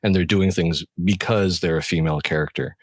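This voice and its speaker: A man's voice: low voice, fairly quick, dry recording, clean studio sound. A man hosting a casual interview, responding in an affirming, conversational way. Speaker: podcast host.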